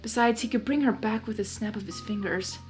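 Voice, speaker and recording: A woman reading fan fiction aloud with expressive narration and enthusiastic, chatty asides. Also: mid-range voice, brisk, soft background music. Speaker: fanfiction reader.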